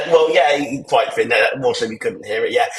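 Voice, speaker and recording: A man giving his views on a podcast, rambling and opinionated with lots of restarts, his voice a little croaky from tiredness, speaking into earbuds on a video call. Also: medium pitch, moderate pace, a bit bright. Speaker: podcast panelist. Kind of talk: casual podcast discussion. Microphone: AirPods on a video call. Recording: echoey, poor sound quality.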